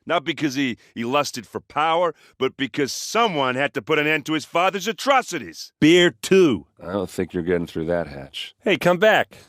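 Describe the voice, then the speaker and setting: An actor playing a brash, hard-drinking warrior captain in a video game, blunt and informal, gruff but soft-hearted. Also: male voice, a little high, sing-song, dry recording, studio quality. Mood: grave, then businesslike. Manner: blunt, informal, halting when emotional. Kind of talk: video game character dialogue.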